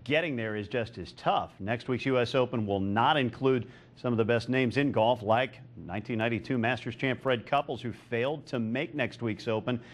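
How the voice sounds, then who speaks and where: A man's voice: medium pitch, moderate pace. A man anchoring television sports news, reading scripted copy in a florid, alliterative broadcast style.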